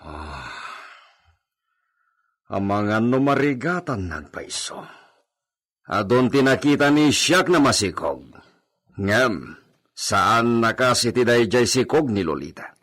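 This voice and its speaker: Man acting a slow, brooding, husky master. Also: medium pitch, big pitch swings, fairly bright tone, full character voice. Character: cold, suspicious master of the house. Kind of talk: Ilocano radio drama acting.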